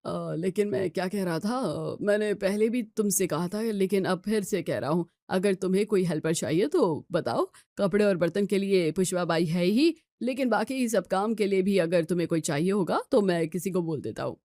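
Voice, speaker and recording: A woman's voice: mid-range voice, moderate pace, hardly any pauses, mellow, no room sound, studio quality, natural voice. A woman voicing a kindly, appreciative older father-in-law in an audio story, considerate and full of praise. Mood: kind, admiring.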